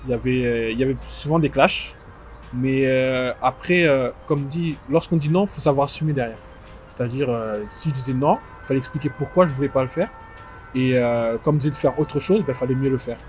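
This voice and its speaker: A man in his twenties who grew up in Strasbourg, giving conversational interview answers with frequent mid-phrase pauses. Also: medium pitch, a little mellow. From born in DR Congo, grew up in Strasbourg, France. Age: 20s. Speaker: interview guest, fashion house founder. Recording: dull muffled sound with music behind. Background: music.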